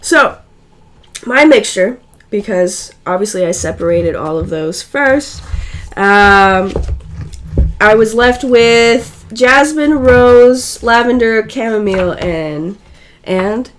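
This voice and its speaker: A woman with a raspy, hoarse voice, feeling unwell, casually explaining a home recipe step by step. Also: a little high, animated, takes it slowly, a little hiss or hum. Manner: chatty, loose, self-correcting. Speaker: guest co-presenter.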